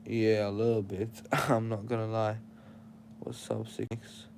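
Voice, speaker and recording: A man's voice: on the low side, level tone, slow pace, vocal fry, a little mellow, slight background noise. A young man with an Arabic accent, sleepy and tired, preaching casual life advice straight to his livestream chat.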